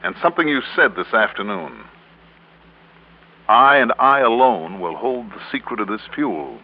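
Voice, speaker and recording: An actor playing a hard-nosed, steady insurance investigator in an old radio detective drama, speaking in a measured, probing way. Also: male voice, mid-range voice. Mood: accusing. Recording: narrow-band old radio recording.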